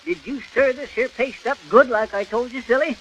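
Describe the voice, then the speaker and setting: An actor playing a folksy, bungling American husband who makes ungrammatical excuses, in 1930s radio comedy style on a crackly old recording. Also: male voice, very high voice, moderate pace, fairly bright tone, lo-fi sound. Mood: fussy, uncertain. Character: folksy, bungling, defensive husband doing amateur wallpapering.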